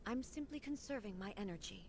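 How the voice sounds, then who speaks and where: A woman playing a solemn, regal warrior goddess and ruler in a video game, speaking formally and with measured command. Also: medium pitch, lively intonation, noisy background, lightly acted. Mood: guarded.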